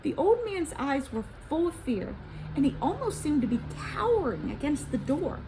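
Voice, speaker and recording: A woman reading a story for children in an expressive, dramatic and suspenseful narration. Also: high voice, moderate pace, noisy background.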